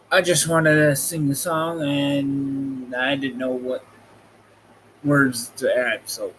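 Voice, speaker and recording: A man hosting and reading a storybook aloud in a halting, amateur way, with casual asides. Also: medium pitch, fairly bright tone, low-quality recording. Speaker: host reading a book aloud. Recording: noticeable background noise.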